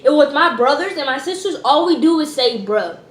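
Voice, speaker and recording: A teenage girl from Georgia, telling a school story in a loud, expressive, slangy way. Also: sits high, brisk, echoey room. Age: teens. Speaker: vlogger. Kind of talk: casual storytime.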